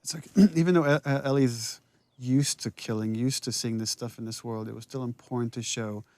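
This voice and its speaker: Gravelly man explaining scenes, close and dry. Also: medium pitch, steady tone, moderate pace, a little mellow, no echo. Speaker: game creative lead giving commentary. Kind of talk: casual commentary conversation.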